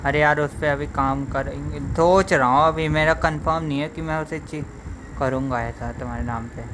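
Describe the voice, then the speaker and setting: A man's voice: on the high side, steady tone, racing, slight background noise. An Indian-accented man giving casual live gameplay commentary as a streamer, with chatty asides. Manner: mixes two languages, chatty asides. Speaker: game streamer. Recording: loud game music behind.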